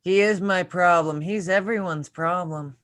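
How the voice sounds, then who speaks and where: A man's voice: sits high, measured pace, fairly bright tone, dry recording. Man playing bitter, obsessive failed screenwriter, dry recording. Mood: grimly determined. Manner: bitter, ranting, profane. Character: angry, obsessive young male failed screenwriter. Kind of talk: screenplay table read acting.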